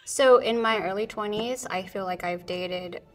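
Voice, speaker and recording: A woman's voice: mid-range voice, a little slow. A woman in her twenties giving casual, rambling interview answers. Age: 20s.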